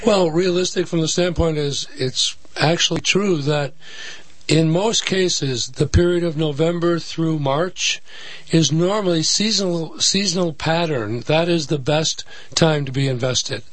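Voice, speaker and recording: A man with a slightly hoarse voice, a financial advisor explaining market patterns as a guest in a radio interview. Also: a little high, measured pace, no echo, low-quality recording. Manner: explanatory, steady. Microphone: studio microphone.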